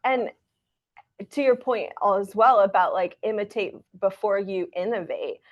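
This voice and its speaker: A woman's voice: mid-range voice, a little slow, muffled recording. A woman hosting a casual, chatty interview, informal and building on her guest's points. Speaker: host and coach interviewing a guest.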